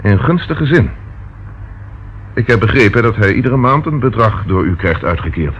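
A man's voice: fairly low pitch, animated, moderate pace, a bit bright. A man acting a persistent, courteous police inspector in a Dutch radio play, questioning formally and politely. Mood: courteous, probing. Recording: dull, faintly noisy old radio recording.

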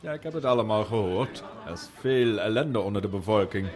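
Actor as a genial prince, very animated pitch. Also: male voice, fairly quick, lightly acted. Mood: genial, sympathetic. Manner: genial, patronising. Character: jovial, generous, condescending royal prince. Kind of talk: Dutch radio drama acting. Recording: outdoor scene, faint crowd behind.

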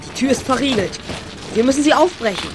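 Woman playing a high-voiced commanding boy detective. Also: animated, a little fast, music in the background, rough recording. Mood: urgent. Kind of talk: audio drama acting.

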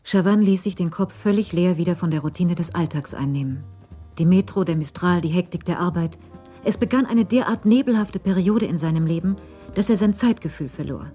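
A woman narrating a scripted German radio crime drama in a measured, literary delivery. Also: lowish voice, dark tone, faint music behind.

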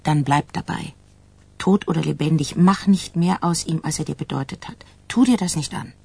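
A woman's voice: low voice, normal speed, vocal fry, darkish tone, breathy edge, no echo, exaggerated voice. An actress in a radio drama playing a caring woman who gives a friend firm advice.

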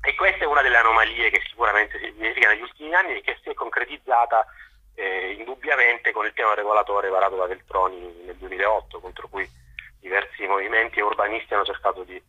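A man being interviewed on radio, explaining his ideas haltingly, searching for words and repeating them, over thin phone-like audio. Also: lowish voice, sharp tone, breathy edge. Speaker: interview guest, journalist.

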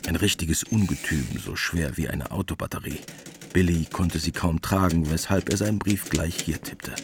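Deep-voiced male radio drama narrator. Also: background noise. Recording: prominent music behind the voice.

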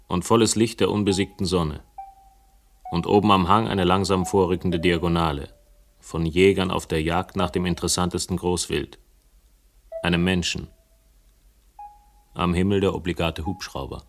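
A man's voice: low voice, fairly even intonation, moderate pace, pauses often, slight background noise. An actor playing a world-weary, wry investigator who narrates his own case in a radio crime drama. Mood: brooding, wry. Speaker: narrator and protagonist.

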